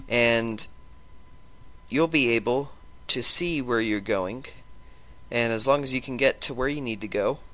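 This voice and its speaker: A man with a sore throat and a stuffy nose, chatting through a gameplay video and talking in a halting way with lots of ums and restarts. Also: medium pitch, sharp tone. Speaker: gaming YouTuber. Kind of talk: casual gameplay commentary.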